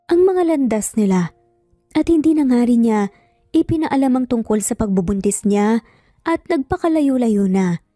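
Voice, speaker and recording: Filipina storyteller with a high, soft voice. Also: female voice, no room sound, studio quality. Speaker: story narrator. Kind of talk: dramatic scripted story narration. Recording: music bed behind the voice. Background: background music.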